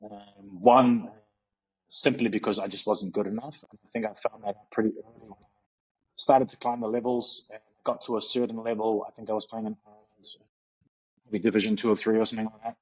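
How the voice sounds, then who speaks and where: A man's voice: fairly low pitch, fairly even intonation, fairly quick, a little mellow, slightly rough, rough recording. A man, a coach talking casually in an interview, rambling and reflective with many fillers. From New Zealand. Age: 30s. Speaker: guest, strength and conditioning coach.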